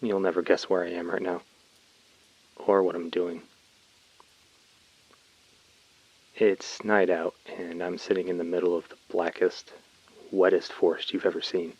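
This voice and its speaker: A man's voice: sits low, little pitch movement, moderate pace, darkish tone. An actor playing a colony labourer in an audio drama, telling his story informally in a message to his brother. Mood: affectionate, confiding. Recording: dry, slightly dull close recording.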